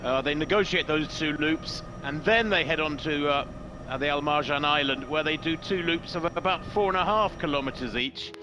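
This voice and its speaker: A man's voice: fairly high pitch, moderate pace. A man calling a road race live, setting the scene and chatting with his co-commentator. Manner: scene-setting, conversational, addresses co-host.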